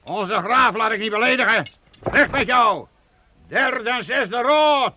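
A man's voice: very high voice, slow, pushed and bright, full character voice. An actor playing a brash, proud medieval townsman who leads guild archers, blunt and mocking, in a radio play. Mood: mocking, commanding. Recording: faintly noisy old radio play recording.

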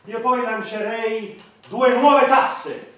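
Shrill, excitable government minister. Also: male voice, drawn-out words, full character voice. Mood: brazen, proposing. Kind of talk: satirical stage acting. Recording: distant echoey hall, faint noise.

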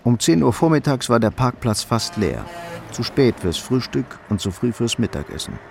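A man's voice: medium pitch, very animated, moderate pace, creaky, a little mellow, soft background music. A man narrating a scripted crime story in a dry, descriptive manner.

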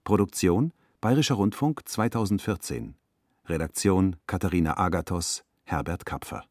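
A man reading a formal scripted announcement in a sober manner, with clearly separated phrases. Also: deep voice, moderate pace, a little mellow.

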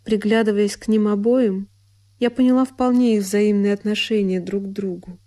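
An actress playing a sorrowful young woman who recalls a lonely childhood, in an intimate, deliberate radio monologue. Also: medium pitch, fairly even intonation, dark tone, natural voice. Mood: wistful, pained. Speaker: solo actress. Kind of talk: dramatic literary monologue for radio. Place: studio radio production. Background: music.

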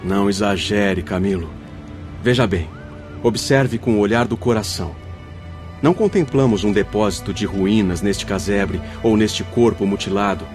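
Man playing a patient, measured spirit guide. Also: fairly low pitch, lightly acted. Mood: gently correcting. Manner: measured, formal, didactic. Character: wise, comforting male spirit guide, firm and patient. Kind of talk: radio drama acting. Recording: music under the voice. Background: background music.

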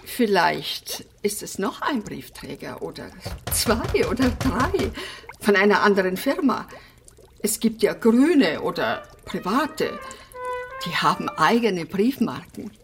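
A woman's voice: medium pitch, sing-song, takes it slowly, music in the background. An actress playing a prim, bossy and whimsical eccentric woman in a German radio play. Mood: curious, fussy. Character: fussy, eccentric fish-loving woman living in an apartment house.

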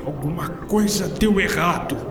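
A distant, echoing voice of an enigmatic time traveler acted by a man, with clear noise around it. He muses in formal, theatrical phrases, as in a radio play. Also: fairly high pitch, a little slow, natural voice. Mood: bewildered. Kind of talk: scripted radio drama acting. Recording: echoey, distant, noisy ambience behind.

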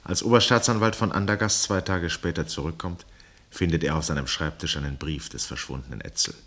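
Very deep-voiced male narrator. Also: a bit bright. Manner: measured, sober, literary. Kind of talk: scripted narration for radio drama.

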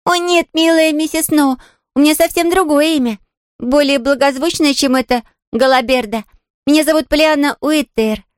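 A high, bright-spirited little girl acted by a woman reading an audiobook. She chatters eagerly and courteously, with artless good cheer. The sound is close and dry. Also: normal speed, dry recording, lightly acted. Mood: cheerful. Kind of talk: audiobook character voice.

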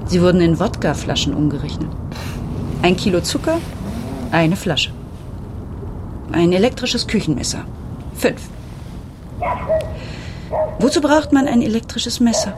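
Reflective first-person narrator, lowish female voice, measured literary radio drama telling. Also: expressive, background noise. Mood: reminiscing. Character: reflective woman telling her family's story.

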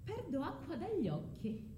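In a roomy, echoing space, a bitter exiled wife and mother speaks in a slow, high voice. Her southern Italian accent carries sarcasm and theatrical drama, as in a radio play. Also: huge pitch swings, exaggerated voice. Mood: pleading, scheming. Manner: dramatic, ironic, with dialect words. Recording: roomy echo, low rumbling noise.

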